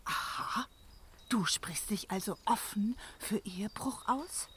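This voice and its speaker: An actress playing a jealous, wrathful goddess of marriage in a fantasy radio drama, theatrical and accusing. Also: female voice, medium pitch, slow, fairly bright tone, husky, heavily acted. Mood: indignant.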